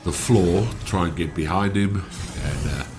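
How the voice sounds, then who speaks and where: Low-voiced man, stuffy. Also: unhurried, noisy background. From South Africa. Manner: relaxed, explanatory, with frequent "uh" fillers. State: stuffy from a cold. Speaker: gaming channel host. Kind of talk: gameplay walkthrough commentary.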